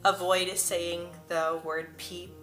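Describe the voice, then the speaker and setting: Young woman with a stutter, bright voice. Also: fairly low pitch, flat delivery, takes it slowly. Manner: frequent stutter with repeated sounds and words; earnest and personal. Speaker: vlogger. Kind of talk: casual talk to camera. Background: soft background music.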